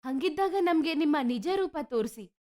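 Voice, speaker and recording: A woman voicing a frank, warm-hearted young village daughter in a story. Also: high-pitched, lively intonation, normal speed. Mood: questioning. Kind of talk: animated moral story dialogue.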